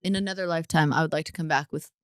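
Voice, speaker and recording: A woman in her late thirties from Canada, chatting casually as a podcast guest and telling bubbly stories with lively quoted asides. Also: deep voice, racing, no echo, clean studio sound. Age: late 30s. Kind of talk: casual chat.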